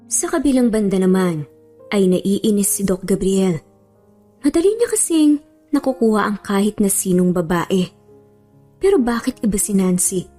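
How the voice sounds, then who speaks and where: Woman telling a romance story, chatty and colloquial, soft mellow tone, faint music behind. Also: mid-range voice, lively intonation, moderate pace, long pauses. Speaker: storyteller narrator. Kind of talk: scripted romance story narration.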